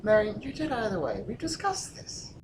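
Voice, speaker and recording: A man's voice: high voice, very animated, moderate pace, background noise. A man acting as a hard-bitten, resolute revolutionary general in an audio drama, urgent and commanding. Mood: commanding, insistent.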